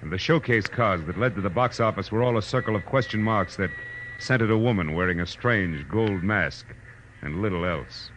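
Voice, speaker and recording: An American actor playing a wry, world-weary private detective, narrating in punchy deadpan 1940s radio noir style. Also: male voice, lowish voice, moderate pace, a little hiss or hum, natural voice, rough recording. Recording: old radio drama, music underneath.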